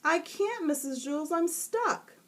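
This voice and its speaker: A woman voicing a whiny, panicky young schoolboy in a storybook reading, speaking in a high pleading whine. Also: expressive, a little slow, fairly bright tone, far from the mic, exaggerated voice. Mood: whining. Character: whiny panicky schoolboy stuck to his chair.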